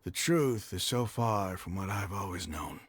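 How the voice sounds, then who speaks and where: A man playing a proud, embittered desert mercenary leader in a video game, with dramatic, halting, emotional delivery. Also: sits low, lively intonation, slightly rough, no room sound, full character voice. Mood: bitter, anguished.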